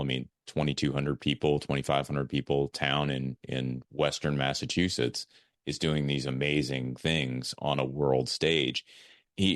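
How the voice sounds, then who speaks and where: A man's voice: extremely low pitch, no echo, clean studio sound. A man hosting a podcast in casual interview chat, reflectively thinking aloud as he talks. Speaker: podcast host.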